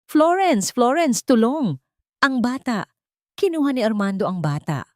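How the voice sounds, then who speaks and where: A woman's voice: fairly high pitch, sing-song, a little slow, no echo, clean studio sound, voice pushed up. A woman voicing a hysterical, desperate nanny crying for help in a story drama. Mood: hysterical panic. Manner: screaming, desperate.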